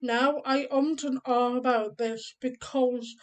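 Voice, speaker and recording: A woman in her thirties talks casually to camera in a slightly high voice. She is deaf, and her speech sounds a bit different because of it. She rambles through her explanations step by step, with plenty of ums along the way. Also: fairly even intonation, slow pace. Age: 30s. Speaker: vlogger.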